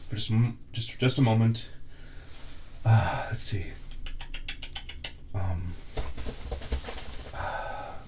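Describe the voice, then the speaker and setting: A man playing a slow, pompous torturer. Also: on the low side, a little mellow, a little hiss or hum. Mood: flustered. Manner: grandiose, formal villain speech. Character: pompous middle-aged male torturer, theatrical villain. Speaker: ASMR roleplay performer. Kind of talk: ASMR roleplay. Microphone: binaural microphone.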